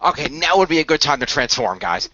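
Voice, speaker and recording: A man's voice: a little high, sing-song, fast talker, pushed and bright, dry recording, muffled recording. A man improvising narration and in-character lines for a hobby radio play.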